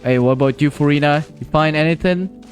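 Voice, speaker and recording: A man's voice: a little high, fairly quick, soft background music. A man streaming live gameplay, chatty and playful, talking to himself as he plays. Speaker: game streamer.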